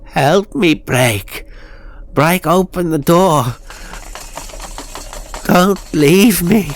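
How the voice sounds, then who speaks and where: Moaning, desperate soul trapped in a doll. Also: male voice, fairly high pitch, lively intonation, very slow, a little hiss or hum, voice pushed up, full character voice. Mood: desperate pleading. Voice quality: ghastly, moaning.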